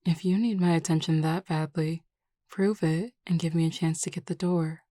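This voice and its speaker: A woman playing a flirty, teasing, self-assured werewolf pack beta in an audio roleplay, talking in a casually confident, unhurried way. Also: deep voice, steady tone, dark tone, no echo, clean studio sound. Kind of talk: scripted audio roleplay acting.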